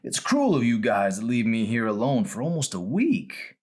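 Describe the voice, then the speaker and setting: A man's voice: medium pitch, wildly swooping pitch, normal speed, darkish tone, pitched above the performer's own voice. A man reading the part of a frail, ornery, teasing old man in a hospital bed from a novel. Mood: grumbling, teasing. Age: older.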